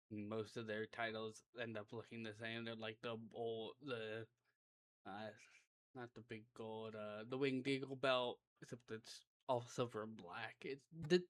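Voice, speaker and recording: A man with a raspy voice talks through a wrestling card in a loose, rambling monologue and corrects himself as he goes. His voice is smooth and resonant under the rasp, and the recording is close and dry with no room sound. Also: mid-range voice, no room sound. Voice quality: raspy right now. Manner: rambling, conversational, self-correcting. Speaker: wrestling reviewer. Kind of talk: casual solo show review.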